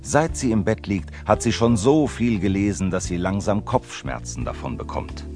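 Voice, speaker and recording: A man calmly narrating a scripted children's story. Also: lowish voice, moderate pace, creaky. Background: music.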